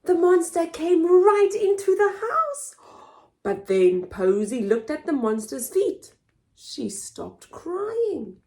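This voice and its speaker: A woman hosting a children's story time, reading aloud in an animated, expressive, theatrical way for very young listeners. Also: very high voice, slow. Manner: expressive, theatrical, with gasps and whispers. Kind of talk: animated read-aloud for toddlers.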